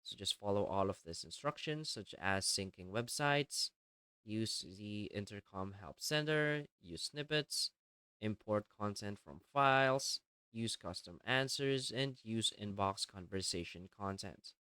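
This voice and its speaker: A man with a fairly low voice walks through software steps as a tutorial presenter. His tone is clean and smooth, and his delivery is plainly instructional. The voice-over is dry, with hardly any room sound. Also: expressive, unhurried, no room sound.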